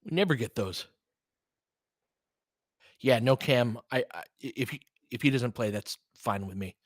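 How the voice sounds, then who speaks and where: A man's voice: mid-range voice, lively intonation, measured pace, dry recording, studio quality. A man giving casual live basketball commentary as a host, chatting informally with listeners and reacting like a fan.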